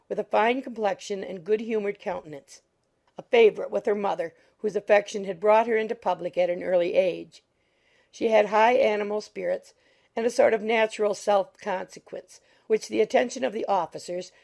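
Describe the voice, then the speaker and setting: A woman reading literary narration as an audiobook narrator, measured and formal. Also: mid-range voice, gravelly, a little mellow.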